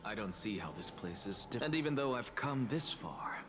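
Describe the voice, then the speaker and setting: An actor playing a sly, sardonic schemer in a video game, with a smooth, theatrical, insinuating manner. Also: male voice, on the low side, very animated, moderate pace, crowd noise. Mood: teasing, confident. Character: sly, scheming, sardonic male scholar with a hidden plan. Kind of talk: video game dramatic voice acting. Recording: music behind the voice.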